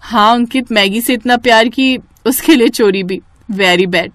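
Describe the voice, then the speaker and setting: A woman's voice: fairly high pitch, a little slow, fairly bright tone, no echo, pitched above the performer's own voice. A woman voicing an emotional, exclamatory young daughter-in-law in a cartoon family story. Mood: cheerful, teasing. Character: cheerful young daughter-in-law, playful, later in anguished pain.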